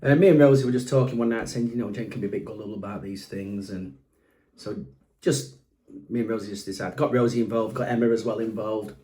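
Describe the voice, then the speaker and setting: A man's voice: lowish voice, quick pace, dark tone. A middle-aged man from Doncaster with a Yorkshire accent, co-hosting a podcast and telling stories in an easygoing, agreeable way. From Doncaster, England. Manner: easygoing, agreeable, laughs often. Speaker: podcast co-host. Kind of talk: casual chat and storytelling.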